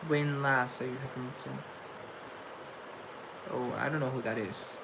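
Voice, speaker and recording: A young man talks on a casual livestream in a mid-pitched voice, halting and restarting his words as he stutters through reflective chat. A clear hiss of background noise sits under his voice, picked up by a phone or webcam mic. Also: steady tone. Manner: reflective and chatty. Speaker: livestream host. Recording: noisy room tone.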